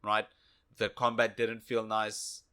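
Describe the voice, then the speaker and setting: A man co-hosting a casual video game review podcast, giving blunt opinions in short, halting phrases. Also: fairly low pitch, completely flat delivery, pushed and bright, clean tone. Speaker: podcast co-host.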